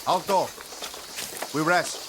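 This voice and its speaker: A man's voice: a little high, slow, noisy background. An actor playing a weary male rebel foot soldier in a radio drama, protesting as he speaks.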